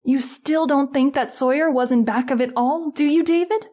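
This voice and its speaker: An anxious, easily frightened woman played by an actress with a high voice. She speaks in the dramatic manner of an old-time radio horror mystery. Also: normal speed, dark tone, natural voice. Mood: uneasy.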